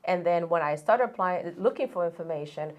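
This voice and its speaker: Woman with a low voice. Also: brisk, highs cut off. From Dominican Republic. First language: Spanish. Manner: earnest and steady, with frequent restarts and fillers. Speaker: guest, college coach and business founder. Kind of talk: conversational interview storytelling.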